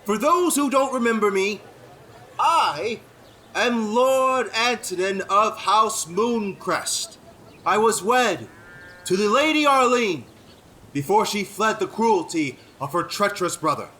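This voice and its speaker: A young, courtly lord in a fantasy audio drama. His voice is bright and swings widely in pitch as he speaks with formal, deliberate self-assurance. Faint ambience sits behind him. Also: lively intonation, slight background noise, full character voice. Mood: oratorical, assured. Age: young adult.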